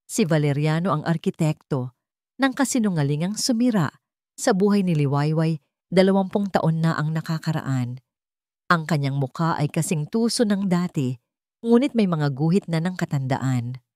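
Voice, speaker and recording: A woman narrating a scripted romance drama with deliberate, dramatic phrasing. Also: low voice, animated, a little mellow, dry recording, clean studio sound. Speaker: story narrator.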